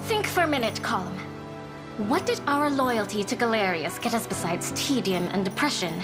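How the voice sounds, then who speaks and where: Woman playing a high-voiced haughty young noblewoman. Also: expressive, moderate pace, lightly acted. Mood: coy, confident. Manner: theatrical, imperious, coquettish. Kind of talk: video game cutscene acting. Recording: loud game music behind. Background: game music.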